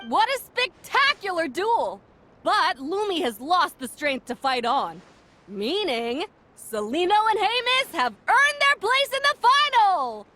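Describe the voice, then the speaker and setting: A woman voicing an excited contest announcer in a game, with showy announcer patter. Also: extremely high pitch, unhurried, a little hiss or hum, heavily acted.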